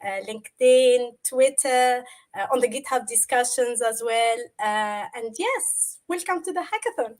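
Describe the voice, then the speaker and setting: A woman's voice: fairly high pitch, animated, unhurried. A woman whose first language is Arabic, explaining a tech topic on a livestream in a conversational way, with everyday examples and a lot of fillers. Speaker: guest presenter.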